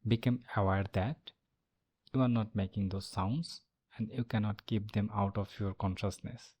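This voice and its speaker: Low, mellow male meditation guide. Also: slightly rough. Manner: calm, measured instructing with long pauses. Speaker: meditation instructor.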